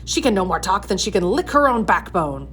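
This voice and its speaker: A woman's voice: mid-range voice, sing-song, a little fast, fairly bright tone. A woman voicing a disapproving old royal aunt in a storybook, crackly, snappish and scornful.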